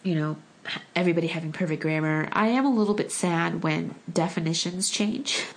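A woman from the United States whose first language was Korean, speaking in a low-pitched, unhurried voice. She talks casually, weighing her words and often restarting mid-thought. Light laughs come easily between phrases. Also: poor audio. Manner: thoughtful, hesitant with restarts and fillers. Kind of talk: casual conversation.

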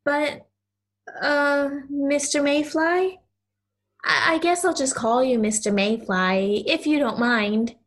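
Audio play: actress as kind little girl, high pitch, unhurried, sincere and slightly hesitant. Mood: shy curiosity. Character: kind, earnest young girl befriending a mayfly.

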